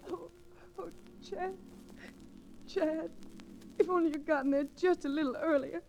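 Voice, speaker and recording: A woman's voice: sits high, fairly even intonation, a little slow, vocal fry, darkish tone, soft background music, rough recording. An actress playing an earnest, polite young ranch woman in an old Western radio drama. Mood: distressed.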